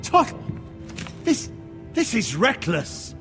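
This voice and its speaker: A man's voice: extremely high pitch, big pitch swings, takes it slowly, fairly bright tone, full character voice. A man playing a hot-headed, hawkish knight in a video game drama, blunt and contemptuous. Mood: scornful, defiant. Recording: long hall reverb, faint music.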